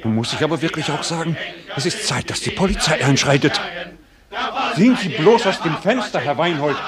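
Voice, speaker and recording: A man's voice: a little high, lightly acted. Man playing a preachy, staid old pastor. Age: older. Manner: unctuous, sermonizing. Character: staid, moralizing older male village pastor, complacent and preachy. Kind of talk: radio play acting.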